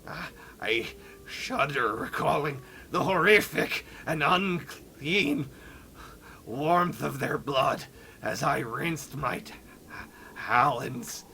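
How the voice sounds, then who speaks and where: Man playing an uneasy, fussy adventurer, bright and forceful. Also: fairly high pitch, expressive, slow pace, gravelly, slight background noise, exaggerated voice. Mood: horrified, repulsed. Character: fussy adventurer slowly turning into a fish creature. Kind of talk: dramatic read-along of game journal.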